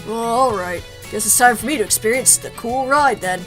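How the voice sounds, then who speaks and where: A woman's voice: fairly high pitch, normal speed, a bit bright, faint music behind, lightly acted, poor audio. A woman voicing an easygoing, joking male skeleton from a game, with a teasing manner. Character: laid-back joking male skeleton buddy.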